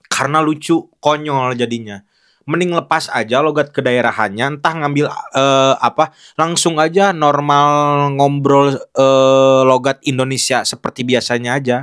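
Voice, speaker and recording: A man's voice: medium pitch, fairly even intonation, a little slow, fairly bright tone, clean tone. A young man giving casual, frank commentary on film acting, talking loosely with many fillers and restarts.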